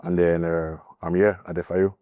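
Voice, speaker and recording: A young man from Cameroon, a college basketball player giving a podcast interview, speaking haltingly with many fillers. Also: sits low, flat delivery, dark tone, clean tone, dry recording. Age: young adult. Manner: halting, filler-heavy, nervous.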